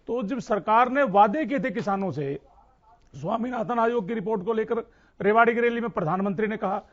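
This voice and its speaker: A man's voice: sits high, a little fast, highs cut off. A man speaking as a farmer leader in an impassioned political debate, insistent and repeating phrases for emphasis.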